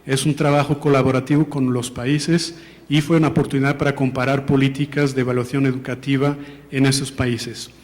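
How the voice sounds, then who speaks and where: A man's voice: mid-range voice, level tone, fairly quick, a little mellow. Portuguese man presenting in a hall. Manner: careful, hesitant, frequent fillers and self-corrections. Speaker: presenter of an education policy report. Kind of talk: formal presentation to an audience. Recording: long reverb of a large hall.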